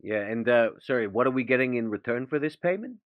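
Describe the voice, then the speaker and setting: A man's voice: mid-range voice, expressive, quick pace, dry recording. A man playing a tabletop role-playing game, speaking casually in and around his character, on a dull-sounding microphone. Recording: dull, band-limited close microphone.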